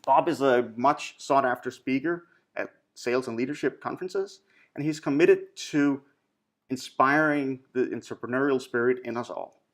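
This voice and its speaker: A man's voice: medium pitch, normal speed. A man hosting an interview podcast, a native Danish speaker, who talks with enthusiasm and halts on fillers and restarts. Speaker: podcast host.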